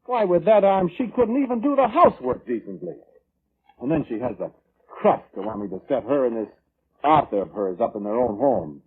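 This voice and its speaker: A man's voice: on the high side, sing-song, normal speed, creaky, husky, heavily acted. Grumbling, defensive man, old radio sound. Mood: indignant. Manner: defensive, colloquial, indignant. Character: self-justifying, grumbling, selfish man testifying at an inquest. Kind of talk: old-time radio drama acting. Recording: muffled vintage radio recording.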